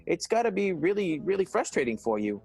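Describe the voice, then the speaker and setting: A man's voice: high voice, sing-song, brisk, creaky, a little mellow, slightly rough, heavily acted. A man voicing an apologetic male theater staffer who stammers and fumbles for excuses. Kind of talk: improvised radio play.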